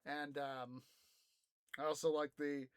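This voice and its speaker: A man's voice: fairly high pitch, level tone, slow, clean tone, dry recording, studio quality. A man hosting a livestream in casual, hesitant, rambling chat. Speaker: live streamer and host.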